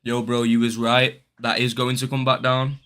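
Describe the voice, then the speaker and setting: Bright-voiced young man streaming. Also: mid-range voice, monotone, moderate pace, clean tone. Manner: slangy, swearing, banters with viewers. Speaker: day trading livestreamer. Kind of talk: casual live-stream chat.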